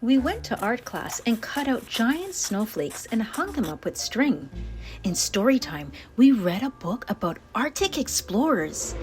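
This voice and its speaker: Female children's read-aloud host, slightly high voice, unhurried, playful emphasis, music underneath. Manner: playful, exaggerated emphasis on descriptive words. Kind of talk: animated children's storybook narration. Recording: music playing behind the voice.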